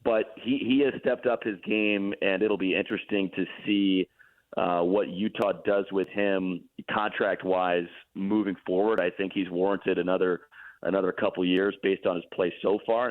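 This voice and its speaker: Low-voiced man on a tinny phone line. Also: normal speed. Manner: relaxed, explanatory, self-deprecating. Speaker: hockey play-by-play broadcaster, guest. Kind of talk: casual radio interview analysis.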